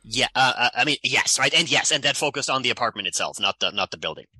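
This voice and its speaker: A man's voice: mid-range voice, quick pace, slightly rough, dry recording. A man in his forties from Austria with an Austrian accent, a climate economist talking animatedly in an interview and often tagging sentences with "right?". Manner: animated, many "right?" tags. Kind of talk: conversational interview.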